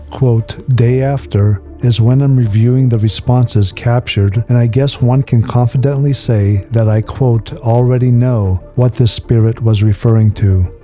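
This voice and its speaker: Middle-aged greek-native guy narrating a ghost app review, mellow low-key tone, soft music under it. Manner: earnest, awed and enthusiastic. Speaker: paranormal investigator.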